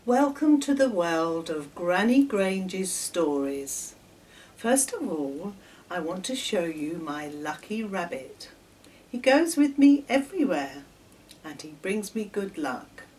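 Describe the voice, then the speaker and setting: Animated older English woman storyteller, unhurried. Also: on the low side, slight background noise. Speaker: children's storyteller. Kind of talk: expressive read-aloud storytelling for children. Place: home kitchen, indoors. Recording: distant microphone in a room.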